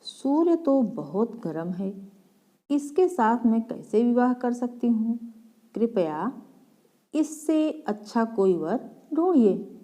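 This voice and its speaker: A woman's voice: on the high side, very animated, takes it slowly, mellow. A woman voicing a sage's young daughter, a choosy girl who speaks respectfully in a storybook tale. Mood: polite objection.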